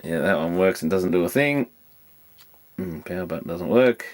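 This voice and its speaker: A man's voice: deep voice, animated, moderate pace, a little mellow. A man giving casual, hands-on repair commentary, thinking aloud in a rambling, matter-of-fact way as he works through electronics. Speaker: electronics repairer presenting a video.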